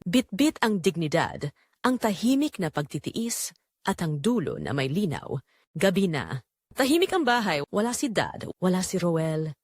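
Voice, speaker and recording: A woman narrating a scripted dramatic story in a solemn, deliberate, emotive way. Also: on the low side, very animated, no echo, studio quality.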